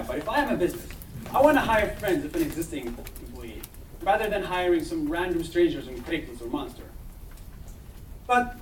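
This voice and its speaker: Young man pitching in an echoey hall. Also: a little hiss or hum. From Israel. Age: young adult. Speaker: startup founder pitching. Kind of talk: startup pitch presentation. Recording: echoey hall, mic from far off.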